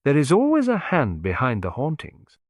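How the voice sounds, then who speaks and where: A man voicing a shrewd, courteous male detective who speaks in a mild, deliberate, philosophical way. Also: mid-range voice, huge pitch swings, dry recording, muffled recording, voice pushed up. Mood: mild, musing.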